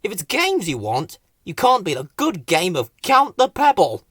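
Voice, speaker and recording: A man's voice: sits high, wildly swooping pitch, normal speed, fairly bright tone, husky, dry recording, studio quality, pitched above the performer's own voice, exaggerated voice. A man voicing a polite, earnest garden worm from a children's storybook, keenly explaining things. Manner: keen, explanatory.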